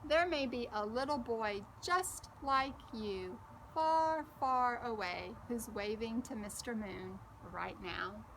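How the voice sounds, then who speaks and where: Storybook big-cousin girl, voiced by a woman reader: high, slow, gently explaining, playful. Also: slight background noise, low-quality recording. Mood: patient, explaining. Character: playful, patient older girl cousin telling stories.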